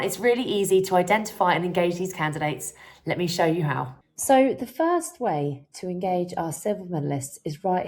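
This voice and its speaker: A woman with a fairly low voice and a noticeably creaky, fried edge works as a software trainer. She narrates a tutorial in an instructional manner, explaining one step at a time and dropping in informal asides.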